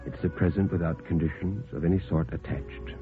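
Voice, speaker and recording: Man playing a low, hushed, secretive messenger. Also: fairly even intonation, natural voice. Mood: measured, insistent. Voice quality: quiet, hushed. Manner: respectful, measured, evasive. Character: quiet, secretive, clerkish male messenger with unsettling reserve. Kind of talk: 1950s radio horror acting. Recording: tinny, narrow-band 1950s radio recording. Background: dramatic background music.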